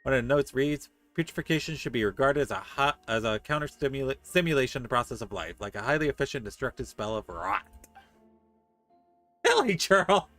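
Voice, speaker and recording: Fast-talking man streaming a video game. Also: mid-range voice, lively intonation. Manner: joking asides between read passages. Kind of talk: reading game text aloud with casual commentary. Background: soft game music.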